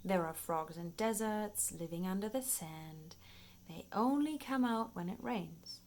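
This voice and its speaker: A woman reading a children's nature book aloud in an expressive, playful storytime manner, paced for young listeners. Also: mid-range voice, measured pace, a little hiss or hum. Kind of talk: read-aloud children's nonfiction storytime narration.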